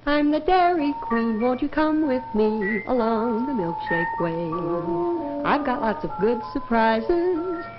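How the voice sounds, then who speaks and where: High, sing-song ad mascot lady over loud music. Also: lively intonation, slow. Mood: sweet, inviting. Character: cheerful singing Dairy Queen ad mascot, inviting woman. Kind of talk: vintage sung advertising jingle. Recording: dull vintage ad film, loud music.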